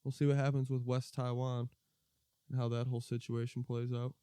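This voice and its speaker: A man's voice: medium pitch, little pitch movement, brisk, dark tone, smooth, dry recording. An American man co-hosting a podcast, chatting casually about politics in a blunt, profane, opinionated way. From United States. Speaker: podcast co-host.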